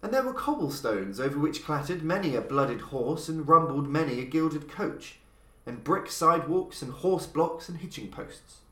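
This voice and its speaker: Guy narrating a spooky old story, slow and dramatic, voice swooping up and down a lot, kinda echoey room. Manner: measured, dramatic delivery with lively pitch swings. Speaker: podcast host and reader.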